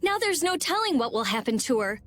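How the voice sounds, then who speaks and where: High-voiced, blunt young girl. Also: sing-song, brisk, fairly bright tone. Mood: worried, insistent. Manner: blunt, intense, worried. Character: blunt, sharp-minded, anxious young girl. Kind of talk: voice acting in an English video game cutscene.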